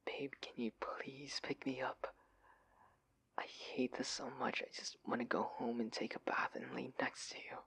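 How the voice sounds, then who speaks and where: Breathy, anxious young husband acted by a man. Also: lowish voice, normal speed. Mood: panicked whispering. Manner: hushed, pleading, breathy. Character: adorkable, anxious, oblivious husband, affectionate and flustered. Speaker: audio roleplay performer. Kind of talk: intimate audio roleplay acting.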